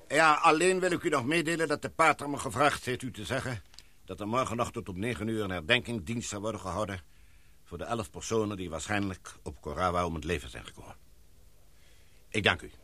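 A formal expedition leader in a radio play. He is measured, commanding and careful, in a dry, close sound. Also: on the low side, bright, forceful tone, dry recording, exaggerated voice. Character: authoritative, cautious male expedition leader, addressed as sir. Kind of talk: Dutch radio drama acting.

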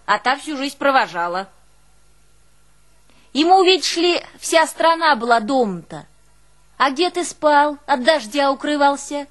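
A woman's voice: high voice, fairly bright tone, full character voice. An actress playing a garrulous, long-suffering old peasant woman with a rural northern Russian accent, telling her life in a folksy, exclamatory stage monologue. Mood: exasperated, scornful. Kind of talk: theatrical monologue, folksy storytelling.

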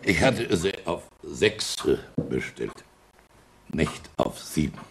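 A stern old schoolmaster for a radio drama, very deep and gravelly. His halting, formal muttering swings widely in pitch, pompous yet easily flustered. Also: sing-song, background noise, exaggerated voice. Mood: stern, suspicious. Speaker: actor. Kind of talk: German radio play acting.

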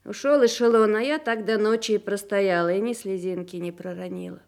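A woman's voice: medium pitch, steady tone, a little fast, a little mellow, lightly acted. An actress playing an emotional, nostalgic middle-aged officer's wife and mother in a Soviet radio drama. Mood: wistful, emotional. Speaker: actor.

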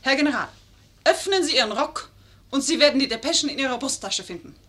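A woman's voice: high voice, animated, fast talker, fairly bright tone. An actress in a 1950s radio play as a witty, teasing, self-assured young lady posing as an officer. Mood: teasing, mock serious.

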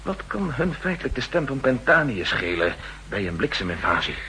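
A man's voice: mid-range voice, big pitch swings, moderate pace, pushed and bright. An actor playing a nervous, suspicious official in a 1960s radio play, formal and courteous in his speech. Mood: probing. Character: nervous, suspicious male official plotting in secret. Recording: noisy old 1960s radio recording.

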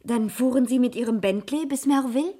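An actress playing a cultured, plucky private detective, polite but sly, in a radio crime drama. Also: female voice, fairly high pitch, warm tone, breathy edge. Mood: probing, polite. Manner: polite, sly, quick to retort. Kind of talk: 1980s German radio crime drama acting.

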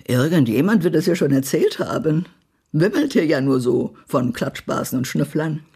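An actress playing a spiteful, haughty small-town society gossip in a German radio play, blunt and imperious. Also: female voice, low voice, expressive, normal speed, mellow, husky, exaggerated voice. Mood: indignant, venomous. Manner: blunt, acid, imperious, swearing.

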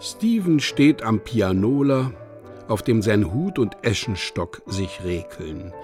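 A man narrates a scripted radio play in a lowish voice. He is slow and measured, placing each word with precision. Music plays clearly behind him. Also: very animated, darkish tone.

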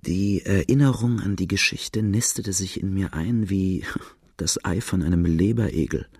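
A man's voice: low-pitched, measured pace, darkish tone, breathy edge, dry recording, heavily acted. An actor playing a brooding outlaw narrating his boyhood in a German radio drama, grave and confessional.

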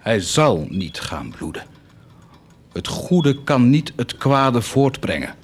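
A man's voice: medium pitch, big pitch swings, slow, slight background noise. An actor playing a proud, fervent nobleman set on doing good, declaiming with theatrical intensity in a radio drama. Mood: defiant, scornful. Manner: declamatory, impassioned, swinging to hushed intensity.

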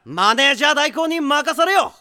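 A man playing a rowdy, hot-blooded high school volleyball boy with bombastic, shouty delivery in an anime audio drama. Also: expressive, brisk, full character voice. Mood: fired up.

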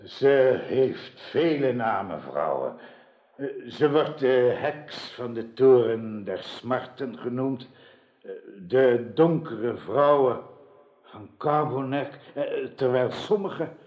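An older actor playing a grieving, dignified old king in a radio drama, with a solemn, halting delivery. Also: mid-range voice, lively intonation. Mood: sorrowful, grave. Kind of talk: theatrical radio drama acting. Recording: dull, echoey radio play recording.

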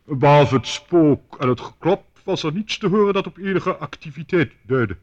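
Radio drama: formal, stiffly polite butler, slightly high voice, measured and obedient, muffled sound. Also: male voice, animated. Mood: deferential, grave. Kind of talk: radio play acting.

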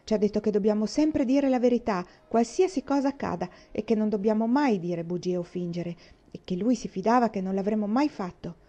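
A woman's voice: medium pitch, fairly quick, mellow, highs cut off, lightly acted. A woman reading aloud voices an earnest, worried little girl who pleads with her sister. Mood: pleading.

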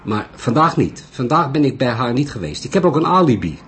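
A man's voice: mid-range voice, very animated, fairly quick, fairly bright tone, slight background noise, pitched above the performer's own voice. A man voicing a defiant, wealthy male murder suspect in an audiobook, indignant, stubborn and emphatic.

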